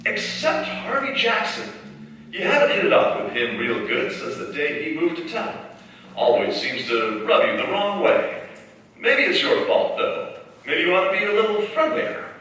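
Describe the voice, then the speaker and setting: A man's voice: sits high, huge pitch swings, normal speed, bright, forceful tone, distant mic, full character voice. A man playing a folksy, knowing narrator who talks to the hero in a 1940s style radio drama. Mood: musing, needling. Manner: folksy, conversational. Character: folksy inner-voice narrator addressing the hero as you. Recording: echoey stage from afar, faint noise.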